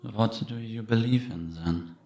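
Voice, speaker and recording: An actor playing the ghost of an old priest in an audio drama, serene, solemn and gently probing, in a measured delivery. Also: male voice, on the low side, soft background music. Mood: probing, calm. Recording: echoey stone-room reverb.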